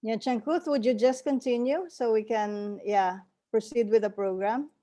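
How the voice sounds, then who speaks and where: Mellow-voiced woman moderating a call. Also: fairly high pitch, normal speed. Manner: polite, hesitant and repetitive. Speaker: meeting moderator. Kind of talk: online meeting moderation. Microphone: video call.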